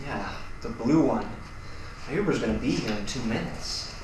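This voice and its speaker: A man's voice: mid-range voice, animated, normal speed, natural voice. A man playing an exasperated, short-tempered roommate in a naturalistic acted scene, speaking bluntly and confrontationally. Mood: hurried. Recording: distant, very echoey room, faint noise.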